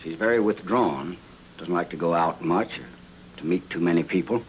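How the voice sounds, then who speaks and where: An actor as a low-voiced, blunt self-made rich man. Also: moderate pace, a little hiss or hum, lightly acted, rough recording. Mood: earnest, reflective. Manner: blunt, plain-spoken, commanding. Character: gruff, self-made wealthy husband, blunt detective's client. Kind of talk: film noir screen acting.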